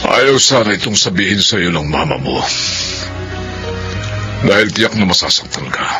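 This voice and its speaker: A man with a Filipino accent playing a stern, protective uncle in a radio drama, speaking gravely and emphatically. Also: low voice, animated, normal speed, over music. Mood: grave, explaining. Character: stern, protective uncle breaking painful family news. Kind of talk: Philippine radio drama acting.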